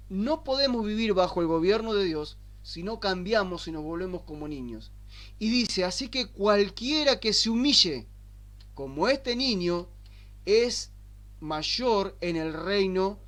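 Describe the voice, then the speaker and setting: An Argentine man preaching a sermon, asking rhetorical questions and slowing down to stress the scripture he quotes. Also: high voice, a bit bright. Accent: Argentine Spanish. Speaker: pastor. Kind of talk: sermon teaching.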